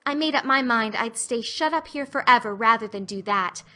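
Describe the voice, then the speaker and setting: A woman acts out an imaginative little girl in an audiobook drama. The voice is clear-edged, bright and somewhat high. She is earnest and theatrical, with a plaintive, solemn turn to her wordy speech. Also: moderate pace. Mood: wistful, earnest.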